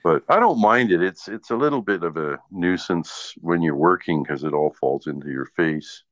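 Guy in his 60s, deep voice, just rambling casual chat, kinda muffled lofi audio. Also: normal speed, fairly bright tone. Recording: dull lo-fi audio in echoey room.

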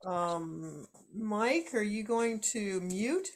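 A woman's voice: mid-range voice, animated, very slow. A woman presenter chats informally with colleagues. Her voice sits in a middle register, and her delivery is plain and conversational. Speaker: radio presenter. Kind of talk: casual chat.

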